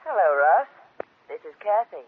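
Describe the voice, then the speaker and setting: An actress playing a silky, scheming ex-wife in a 1940s radio drama, husky, mock-sweet and needling. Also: female voice, mid-range voice, very animated, normal speed, slight background noise, lightly acted. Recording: phone-like old 1940s radio recording.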